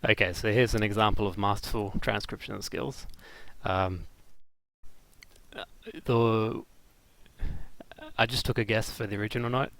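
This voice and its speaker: A man giving a casual, unscripted software tutorial, thinking aloud in a halting, hesitant way with dry, self-deprecating humour. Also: lowish voice, fairly even intonation, vocal fry. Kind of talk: casual unscripted screen-recorded software demo.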